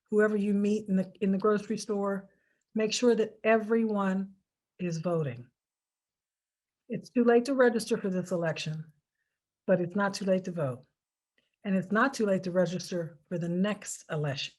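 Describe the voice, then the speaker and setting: An American woman speaks in a medium-pitched voice, walking listeners through a procedure step by step. She is informal but emphatic, leaning on key words and repeating the important points so they are understood. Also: darkish tone. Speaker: presenter of a voter instruction video. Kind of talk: informal step-by-step public instruction.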